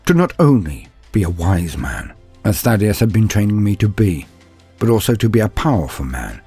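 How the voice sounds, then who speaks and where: A man acts out an earnest, bulky young warrior-psyker telling his own story. His voice is low and husky, with dramatic swells and drops and solemn, formal, deliberate phrasing. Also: sing-song, warm tone, soft background music, exaggerated voice. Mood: fervent. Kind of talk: dramatic first-person story narration.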